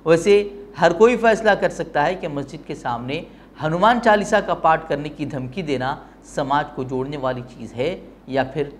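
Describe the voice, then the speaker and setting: Emphatic male news presenter, somewhat high voice. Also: a little hiss or hum. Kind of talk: news bulletin with pointed commentary.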